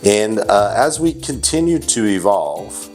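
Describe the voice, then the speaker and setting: A man's voice: fairly low pitch, very animated, a little slow, a little mellow, faint music behind. A man hosting a casual company interview as its CEO, chatty and teasing, with many restarts.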